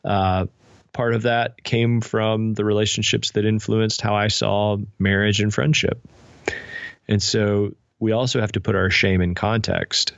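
A man speaking as an interview guest in a thoughtful, measured, explanatory way. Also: fairly low pitch, steady tone, creaky, dry recording, dull audio. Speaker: podcast guest, spiritual director and author. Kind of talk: reflective interview conversation.

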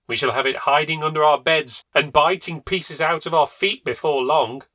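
A man doing an excitable, fussy husband. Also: on the high side, normal speed, no room sound, voice pushed up. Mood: agitated. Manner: raised, excitable. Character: agitated fussy man, garden and poultry keeper.

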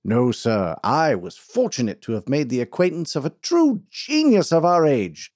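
A man voicing a pompous middle-aged snake-oil doctor who speaks in grandiose, drawn-out oratory. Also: on the high side, very animated, a little mellow, no echo, studio quality, voice pushed up.

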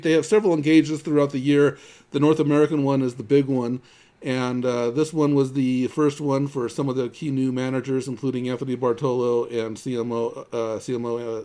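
A man's voice: mid-range voice, a little mellow. A man hosting a conversational tech news podcast, often stumbling and repeating the starts of his words. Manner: halting, with repeated word starts. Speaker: podcast host.

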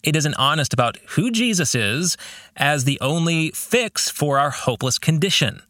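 A man's voice: on the high side, animated, normal speed, bright, forceful tone, no room sound, studio quality. A man hosting a podcast, giving reflective religious commentary on his book in an earnest, preacherly way with emphatic phrasing. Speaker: podcast host and author discussing his own novel.

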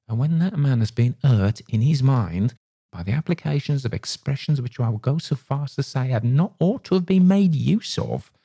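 A man's voice: lowish voice, gravelly, dark tone, breathy edge, no echo, clean studio sound, pitched above the performer's own voice, heavily acted. A man voicing a pompous, long-winded male innkeeper who talks in tangled, ungrammatical phrases. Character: pompous garrulous male innkeeper, middle-aged.

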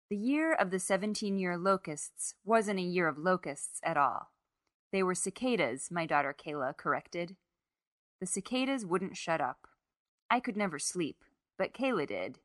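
A woman's voice: on the low side, a bit bright, no room sound, studio quality. A woman reading a first-person literary short story as narrator.

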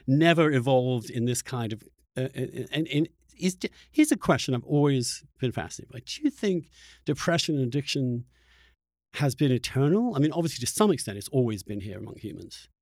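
A male interviewer speaks at an average pitch, working out his ideas as he talks. His phrasing is searching and halting, with frequent rewordings. The sound is dry, with no room around the voice. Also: expressive, darkish tone, no room sound. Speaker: podcast host interviewing a guest. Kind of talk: conversational interview, thinking aloud.

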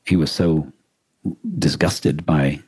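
A man co-hosting a podcast, explaining things in a casual, halting discussion as he thinks aloud. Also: rumbling low, fairly even intonation, darkish tone, husky, no room sound. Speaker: podcast co-host. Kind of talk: casual discussion of a radio drama.

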